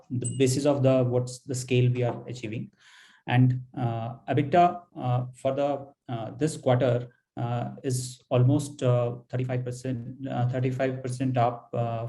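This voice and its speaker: A man with an Indian accent presenting financial results as a company executive on an earnings call, halting and hesitant, with frequent pauses and repeated words. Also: mid-range voice, dark tone, low-quality recording.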